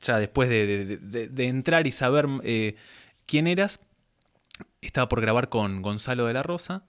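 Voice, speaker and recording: A man's voice: mid-range voice, lively intonation, moderate pace, smooth. A man with a Rioplatense accent and a mid-range voice hosts a casual interview. He talks informally and colloquially, building his questions loosely as he goes. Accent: Rioplatense Spanish. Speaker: podcast host, interviewer.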